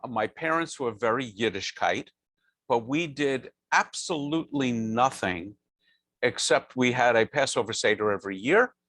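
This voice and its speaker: A man's voice: medium pitch, moderate pace, fairly bright tone, no echo. A man in his sixties, a rabbi, telling his own story with earnest, wry thoughtfulness on a video call. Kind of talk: personal storytelling on a panel. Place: home study with bookshelves. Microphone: video call with lapel mic.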